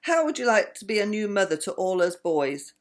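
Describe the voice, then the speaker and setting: A woman's voice: mid-range voice, very animated, fairly quick, natural voice. An older woman reading the part of a cheeky, scheming, persuasive boy in a bedtime storybook. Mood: coaxing, eager.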